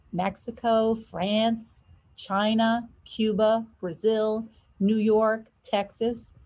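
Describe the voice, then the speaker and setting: A woman narrating a children's storybook expressively, with deliberate, drawn-out emphasis on key words. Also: on the high side, darkish tone. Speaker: school superintendent reading a children's story aloud.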